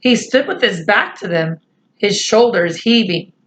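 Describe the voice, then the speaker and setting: A woman reading a children's book aloud, pausing for chatty asides to her listeners. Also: medium pitch, animated. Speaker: storyteller.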